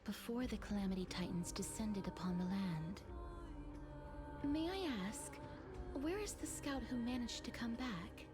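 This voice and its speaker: Gentle death maiden played by a woman: lightly high, breathy, very unhurried, music underneath. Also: close to an everyday voice. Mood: quiet, pensive. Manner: soft, breathy, slow and deliberate. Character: gentle, solemn young maiden of death, softly composed. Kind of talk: video game voice acting.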